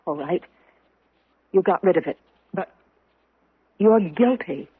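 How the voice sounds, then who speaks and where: An actress playing a grave, deliberate woman who presses an accusation, in old-time radio drama style. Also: fairly low pitch, animated, slightly rough, exaggerated voice. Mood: accusing. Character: grave, accusing woman confronting a killer judge. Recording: roomy, muffled old radio recording.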